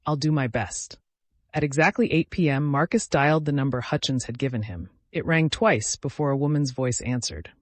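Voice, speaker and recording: A man's voice: mid-range voice, expressive, a little fast, hardly any pauses, no echo. A man reading a dramatic scripted story as an audio fiction narrator.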